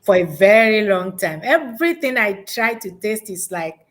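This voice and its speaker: Woman talking thru her thoughts in an interview, medium pitch, reflective, says key bits again. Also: animated, moderate pace. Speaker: education consultant guest. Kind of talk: unscripted conversational interview answers.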